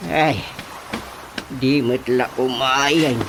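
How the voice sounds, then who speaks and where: A man's voice: medium pitch, fairly even intonation, drawn-out words, noisy background. A man playing a jumpy, grumbling father in a radio drama comedy. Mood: puzzled. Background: loud music.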